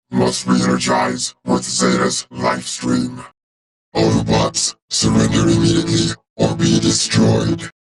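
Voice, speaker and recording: A menacing robot war machine from a video game, speaks in a low, heavily gravelled voice through a vocoder filter. It reports like a cold, calculating officer, formal and deadpan. The pitch jumps oddly between words while the manner stays clipped and emotionless. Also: male voice, heavily acted, poor audio. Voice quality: gravelly, droning robotic timbre. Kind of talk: video game character voice lines.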